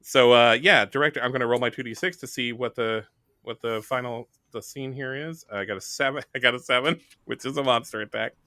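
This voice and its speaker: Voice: medium pitch, brisk, pushed and bright, dry recording, clean studio sound. Someone acting as game director in an improvised tabletop roleplaying session, chatting in a joking, theatrical way.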